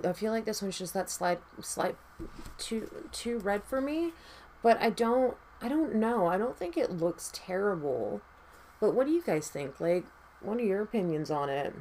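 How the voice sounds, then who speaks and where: A woman with a raspy voice doing her makeup and chatting casually, tired, rambling and swearing freely. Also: mid-range voice, darkish tone, slight background noise. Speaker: makeup vlogger.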